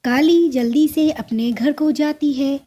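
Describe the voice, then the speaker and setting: Slow-paced woman narrating a cartoon story. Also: high-pitched, dark tone.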